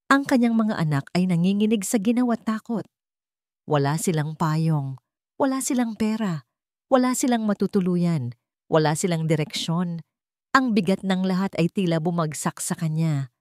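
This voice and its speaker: A woman's voice: fairly low pitch, lively intonation, normal speed, dry recording, studio quality. A woman storyteller reading a scripted, dramatic love story narration.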